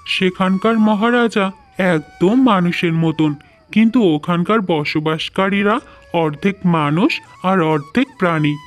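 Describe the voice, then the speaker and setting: A man voicing an earnest young cartoon character with formal, explanatory speech, music behind. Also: high voice.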